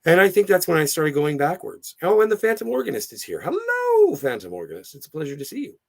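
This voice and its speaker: Male streamer, hoarse with gravelly fry, animated intonation, casual and digressive, reading chat comments aloud. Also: high voice, a little fast. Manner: rambling, digressive, easily sidetracked. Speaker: livestream host.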